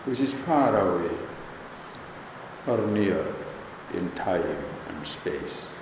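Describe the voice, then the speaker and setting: An Indian male spiritual teacher guides a meditation at a very slow pace. His phrases are short and deliberate, with space between them. The recording is heavily echoing, with clear background noise. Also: low-pitched, animated. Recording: echoey hall, long reverb, background noise.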